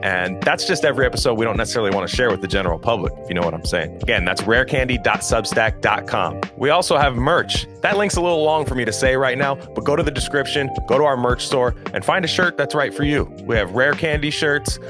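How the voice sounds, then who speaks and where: A man's voice: medium pitch, expressive, quick pace, hardly any pauses, over music. A man hosting a podcast, talking casually in an informal, meandering way. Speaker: podcast host.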